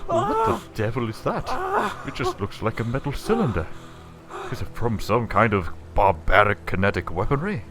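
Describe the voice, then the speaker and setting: Sci-fi radio drama: male actor as haughty rich client, male, pompous and wordy, with swooping pitch, easily rattled. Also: huge pitch swings, moderate pace, over music. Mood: alarmed disdain. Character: self-important wealthy corporate client, haughty, easily panicked. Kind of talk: sci-fi audio drama acting.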